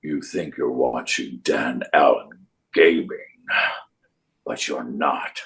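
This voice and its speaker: An actor voicing a menacing game character with a gravelly, husky voice and portentous delivery. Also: male voice, very deep voice, takes it slowly, a bit bright, full character voice. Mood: ominous.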